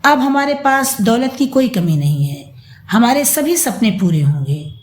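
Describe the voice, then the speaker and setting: High-voiced haughty young wife played by a woman. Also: sing-song, unhurried, echoey room. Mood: gloating happiness. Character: haughty, scheming, short-tempered young wife.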